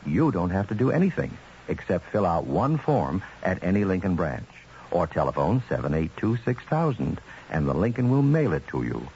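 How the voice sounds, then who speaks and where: A man's voice: sits low, big pitch swings, moderate pace, darkish tone. A man reading a scripted, folksy radio commercial as an announcer. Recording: muffled old radio, faint hiss.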